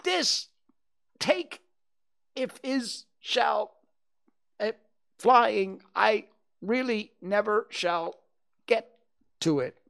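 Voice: mid-range voice, very animated, very slow, vocal fry, pushed and bright, voice pushed up, heavily acted. A storybook reader voicing a small, anxious, indignant male piglet with a jerky, protesting, sputtering delivery. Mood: jerky, despairing. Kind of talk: children's storybook character voice.

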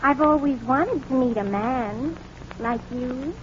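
Affected, dramatic girl of seventeen, high voice, faintly noisy vintage radio drama. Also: slow pace. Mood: coy, admiring. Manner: affected, emphatic, coy. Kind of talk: 1940s radio drama acting. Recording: dull noisy 1940s radio recording.

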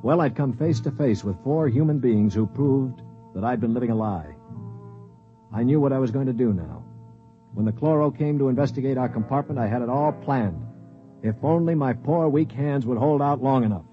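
An actor playing a cynical, commanding space prisoner who also narrates, in a 1950s radio drama. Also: male voice, mid-range voice, moderate pace, soft background music, lightly acted. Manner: brusque, commanding. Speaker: narrator and lead. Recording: dull old radio broadcast.

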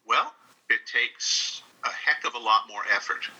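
A man's voice: mid-range voice, unhurried, bright, forceful tone, slightly rough, highs cut off. A man from New York answering interview questions over a video call in a conversational, encouraging, plain-spoken way. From New York, United States. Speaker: interview guest, radio drama director and instructor.

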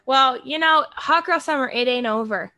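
A woman's voice: high-pitched, moderate pace, bright, forceful tone, no echo, clean studio sound. An American woman in her thirties co-hosting a podcast in a casual, chatty style. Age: 30s. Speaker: podcast co-host. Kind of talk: casual chat.

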